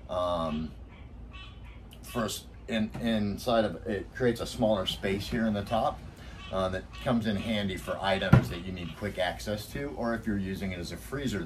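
A deep, low-voiced man in his fifties presents gear for his sailing vlog in an easygoing way, filling his pauses with ums and uhs. He is recorded outdoors, and clear background noise is heard behind him. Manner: relaxed, with frequent ums and uhs. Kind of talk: casual product review and vlog narration. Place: outdoor backyard patio.

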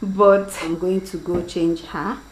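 Nigerian woman, young, unhurried cooking chatter, echoey kitchen, clear background noise. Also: on the low side, flat delivery. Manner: chatty, talks to viewers as she works. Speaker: cooking vlogger. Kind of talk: casual cooking demonstration. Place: home kitchen, indoors.

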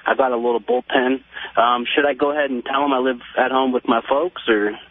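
A man's voice: medium pitch, steady tone, fairly quick, slight background noise. A man in his late twenties calling a radio show with a casual, admiring manner. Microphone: telephone. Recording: narrow, tinny phone line.

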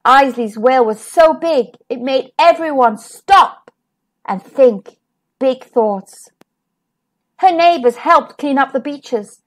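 A woman reading a children's picture book aloud as a storyteller, with expressive delivery that draws out and stresses key words. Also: high voice, slow. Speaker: storyteller, host of a children's read-aloud show.

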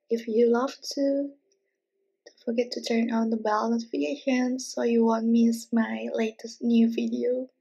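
A young woman speaks to her viewers in a soft ASMR style. Her voice is breathy and fairly high, with little rise and fall, often slipping toward a whisper. She is recorded up close. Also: low-quality recording. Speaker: mukbang host. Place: small indoor living room, at a table.

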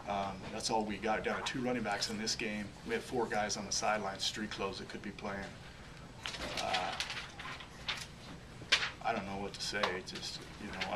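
A man in his forties, a head football coach answering questions at a postgame press conference, often restarting and repeating words as he searches for phrases. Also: lowish voice. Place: small press room, in front of a logo backdrop. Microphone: table microphone on a stand. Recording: distant mic, audible room noise.

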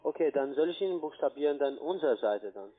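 A man with a foreign accent in German, a non-native speaker talking haltingly in broken grammar as a call centre agent. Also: a little high, lively intonation. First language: not German. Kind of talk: halting phone sales talk. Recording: narrow tinny phone line.

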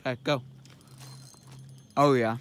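A teenage boy chatting excitedly while fishing from a lake shore, recorded on a head-mounted camera. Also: mid-range voice, slow. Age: teens. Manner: excitable, exclaiming over catches. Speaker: fishing vlogger. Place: outdoors on a rocky lake shore. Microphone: head-mounted action camera. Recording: noisy outdoor camera audio.

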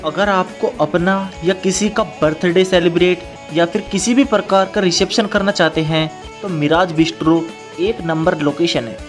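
A man's voice: high voice, fairly even intonation, moderate pace. Man narrating over faint guitar music. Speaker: vlog narrator. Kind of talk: scripted promotional narration.

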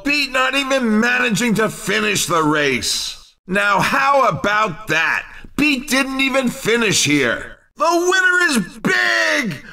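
A man playing an excitable, wisecracking race commentator in a video game, with a punchy, theatrical delivery. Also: high-pitched, far from the mic, full character voice. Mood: teasing disappointment. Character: excitable, wisecracking male racing game announcer.

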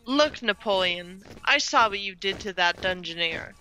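A woman's voice: mid-range voice, animated, moderate pace, very noisy, close to an everyday voice. A woman playing a determined young sister in an audio drama, commanding and direct, over music.

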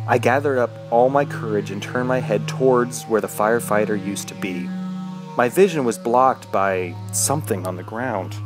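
An actor playing an injured, desperate man trapped in the woods, narrating a horror radio drama in a halting, dramatic way. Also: medium pitch, close to an everyday voice. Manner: dramatic, halting, with anguished outbursts. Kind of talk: first-person horror radio drama monologue. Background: music.

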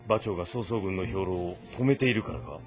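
A man's voice: deep voice, brisk, lightly acted. A man playing a worried, impatient warlord in a dramatic audio drama style. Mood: anxious, doubtful. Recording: music behind dialogue.

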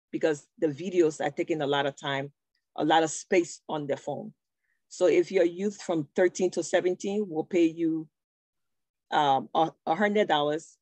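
A woman's voice: low-pitched, darkish tone, dry recording. A middle-aged woman from Liberia, a nonprofit founder, introducing herself on a video call in an earnest, storytelling way. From Liberia, West Africa.

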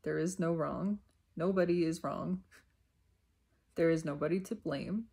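A woman giving an unscripted, reflective spiritual monologue in short, halting, repeated phrases, with a husky, creaky voice. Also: low-pitched, warm tone. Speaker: self-reflective spiritual vlogger.

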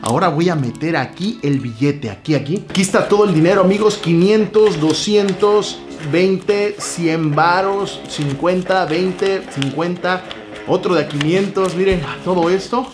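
Animated Mexican YouTuber man. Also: a little high. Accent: Mexican Spanish. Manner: loud, animated, joking, swears freely. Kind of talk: excited vlog presenting. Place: small room with foam panels, and a store.

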